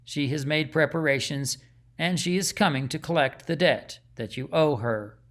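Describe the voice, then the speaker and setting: A man's voice: a little high, normal speed, a bit bright, lightly acted. A man voicing an ominous winged messenger in a storybook, stern and terse. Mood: stern warning.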